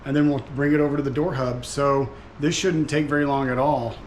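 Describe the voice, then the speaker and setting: A man's voice: mid-range voice, steady tone, fairly quick. A man giving casual, informal hands-on commentary as he talks through an installation job while working. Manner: informal, talking through the task as he works. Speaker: DIY vlog host and installer. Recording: echoey room with clear background noise.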